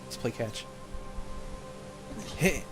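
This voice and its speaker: A man's voice: extremely slow, over music. A man chatting casually as a co-host, riffing on a story, repeating himself and often laughing. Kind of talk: casual joking chat.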